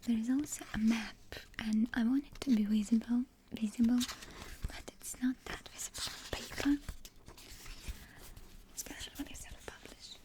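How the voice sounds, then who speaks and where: A woman in her twenties, a native Russian speaker, whispering in a soft, meandering ASMR ramble close to a desktop condenser mic. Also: on the high side, steady tone, moderate pace. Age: 20s. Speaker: ASMR creator. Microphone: desktop USB condenser mic.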